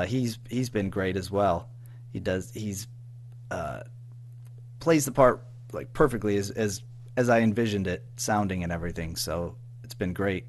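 A man with a fairly deep voice chats as an interview guest. He speaks in a halting way, repeating words and letting phrases trail off. A faint hum sits under the recording. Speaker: audio drama writer-producer, interview guest. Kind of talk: casual interview chat. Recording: faint background noise and hum.

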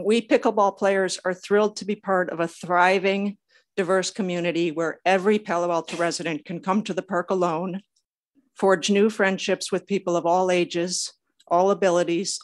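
A woman's voice: fairly low pitch, steady tone, moderate pace. An older woman reading a prepared statement at a public meeting, a little nervous. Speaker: public commenter. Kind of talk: prepared speech read aloud at a public meeting.

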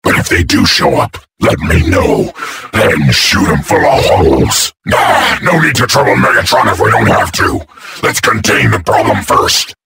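A thuggish robot henchman from a video game. He is cocky and sarcastic, with a heavily gravelled voice that jumps around in pitch, over loud noise. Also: huge pitch swings, measured pace, full character voice. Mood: casual. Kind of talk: video game character voice acting.

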